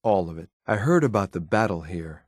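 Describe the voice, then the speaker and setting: A stern, dutiful android soldier from a video game. His voice sits on the low side, with formal, restrained military phrasing. The sound is dry, with no room around it. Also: lively intonation, fairly quick, no echo. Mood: detached, businesslike. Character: stern, dutiful male synth soldier, coolly loyal. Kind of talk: scripted game companion dialogue.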